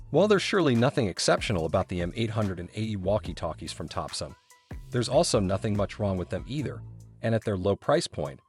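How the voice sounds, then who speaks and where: A man with a fairly low, lively voice delivers scripted product review narration. His delivery is polished and promotional, rising and falling noticeably, over background music. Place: voice-over recording.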